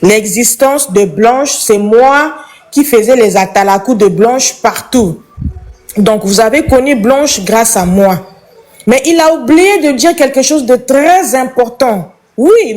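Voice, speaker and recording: A woman's voice: a little high, expressive, takes it slowly, darkish tone. A woman from Congo, calling into a live chat and giving an impassioned, preachy opinion that repeats its points.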